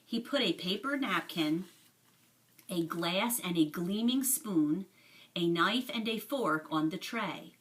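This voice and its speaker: A woman narrates a children's book at a slow, deliberate pace. Her voice is bright and expressive, picked up from slightly away from the microphone. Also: fairly low pitch, recorded from a distance. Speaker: storybook reader.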